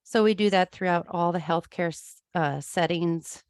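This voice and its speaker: A woman's voice: fairly low pitch, normal speed, darkish tone, smooth, no echo, studio quality. A woman from Iowa, a music therapist being interviewed, answering in an easygoing way with frequent ums. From Iowa, USA.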